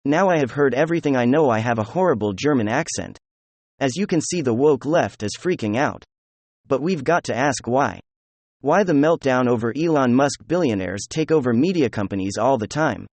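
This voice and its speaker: A man's voice: medium pitch, lively intonation, normal speed, darkish tone, no room sound. A man delivering opinionated news commentary in a sarcastic, mocking manner. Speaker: political commentator.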